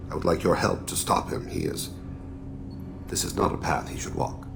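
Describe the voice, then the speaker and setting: Gravelly, extremely deep alien assassin. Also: male voice, steady tone, noisy background. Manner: measured, formal, introspective phrasing. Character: solemn alien assassin, grieving, regretful estranged father. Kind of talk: video game dramatic dialogue.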